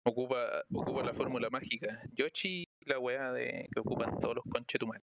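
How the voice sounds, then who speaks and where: A man's voice: mid-range voice, fairly even intonation, a little fast, vocal fry, a bit bright, dry recording. A man chatting casually during a game, teasing and swearing lightly. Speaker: friend playing along. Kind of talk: casual gaming chat.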